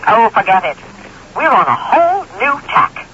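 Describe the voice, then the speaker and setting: Pushy ad executive: bright, very animated, urgent radio-drama delivery on a noisy old broadcast. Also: female voice, on the high side, lo-fi sound. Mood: brisk, businesslike. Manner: urgent, brisk, pleading. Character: pushy, frantic New York advertising woman.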